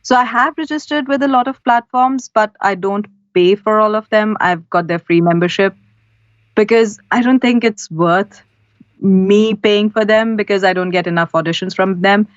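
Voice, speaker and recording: A woman's voice: mid-range voice, normal speed, no room sound. Earnest woman voice artist, lo-fi audio. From India. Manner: earnest, practical, gives advice step by step. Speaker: voice-over artist, guest. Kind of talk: interview answers. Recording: slightly dull, lo-fi audio.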